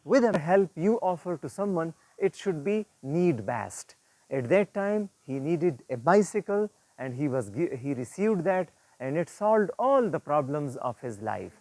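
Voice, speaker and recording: A male storyteller with a somewhat high voice shares an uplifting tale in an informal, earnest style. He explains as he goes, pausing often and repeating words as he finds the next phrase. The sound is very dry, with almost no room reflection. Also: very animated, a little mellow, no echo, rough recording. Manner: earnest, didactic, halting with frequent pauses and repeats. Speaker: storyteller and presenter. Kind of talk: informal retelling of an inspirational moral story.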